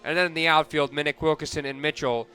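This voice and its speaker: A man calling live softball play-by-play on the radio as the announcer. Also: on the high side, steady tone, fast, smooth.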